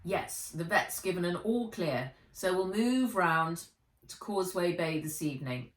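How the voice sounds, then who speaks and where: A woman's voice: lowish voice, lively intonation, a little slow. A woman voicing a commanding male guardian in a children's adventure storybook. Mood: businesslike. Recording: roomy echo, distant from the mic.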